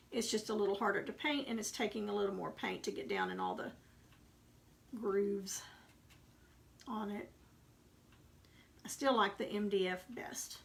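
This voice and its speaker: A woman's voice: medium pitch, gravelly. A woman with a Texas accent chatting through a live painting tutorial, rambling into homey asides as she paints. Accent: Texan. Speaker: craft painting instructor. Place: home craft workspace.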